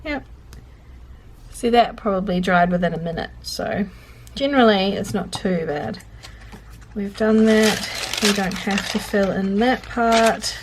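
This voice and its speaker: A woman's voice: medium pitch, a little slow, poor audio. A woman with a mid-range voice who sounds a little stuffy and congested talks casually while she journals. She rambles, breaks off mid-thought and corrects herself. Faint hiss and small clicks sit behind her voice. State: congested, sniffly. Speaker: journaling vlogger. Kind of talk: chatty real-time journaling commentary. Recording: faint clicking and background noise.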